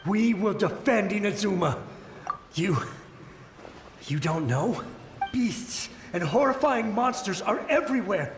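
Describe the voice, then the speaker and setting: A man's voice: high-pitched, lively intonation, measured pace, pauses often, background noise. An actor playing a desperate, loyal samurai haunted by battle in a video game, pleading and urgent, with a ghostly reverb on his voice. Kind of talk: video game character dialogue. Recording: echoey long reverb, music behind. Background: game music.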